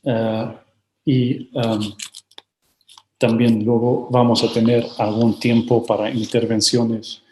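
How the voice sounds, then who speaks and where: A man speaking slowly and haltingly as he hosts a book presentation, often pausing on filler sounds between his formal introductions. His voice sits in a mid register and carries a roomy echo, heard over a video call. The delivery stays measured and courteous throughout. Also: medium pitch, little pitch movement, darkish tone, slight background noise. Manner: hesitant, frequent filler sounds. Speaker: host of a book launch.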